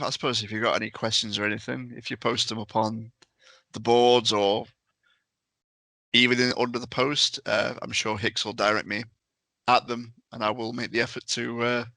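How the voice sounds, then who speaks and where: A man's voice: medium pitch, creaky, pushed and bright, no echo. A man chatting casually as a podcast guest in a hesitant, self-effacing way.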